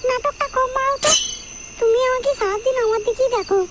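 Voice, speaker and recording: A woman's voice: very high-pitched, fast, very noisy. A woman voicing a young married Bengali sister-in-law in a comedy cartoon, bossy, foul-mouthed and given to nagging and pleading. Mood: scolding.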